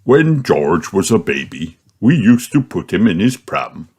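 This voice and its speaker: A man's voice: low-pitched, very animated, unhurried, darkish tone, slightly rough, full character voice. A man voicing a good-natured, put-upon daddy pig in an easygoing fatherly way for a children's storybook.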